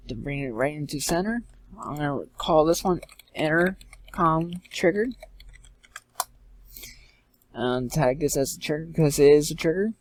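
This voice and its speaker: A man giving an informal step-by-step software tutorial in a halting way, repeating and correcting himself as he goes. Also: fairly high pitch. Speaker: tutorial presenter. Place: indoors, screen-recording voice-over.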